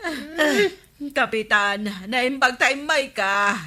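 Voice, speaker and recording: A woman's voice: high voice, expressive, slow, bright, forceful tone, exaggerated voice. A woman with an Ilocano accent playing a hot-tempered, aggrieved village creditor in a radio comedy drama, indignant and whining. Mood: complaining.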